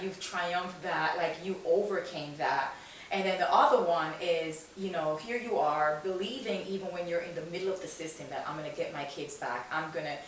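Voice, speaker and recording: An encouraging woman host with a slightly low voice talks in an emphatic, motivational interview style, repeating phrases as she goes. The room echoes heavily and she sounds far from the microphone, with a faint hiss underneath. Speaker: talk show host.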